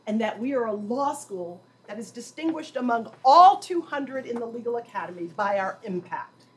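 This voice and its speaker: Woman dean at a podium, kinda high voice, faint room hum behind her, formal welcome w/ little dry jokes. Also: low-quality recording. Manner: emphatic, warm ceremonial delivery with dry asides. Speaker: law school dean giving a welcome. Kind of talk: formal welcoming address at a lecture. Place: lecture hall with an audience.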